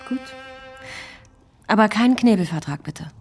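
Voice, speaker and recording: Woman as poised, creaky-voiced young film actress. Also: medium pitch, expressive, normal speed, a little mellow, soft background music, close to an everyday voice. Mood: poised, guarded. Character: poised young silent-film actress, polite yet self-assured. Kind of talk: radio drama acting.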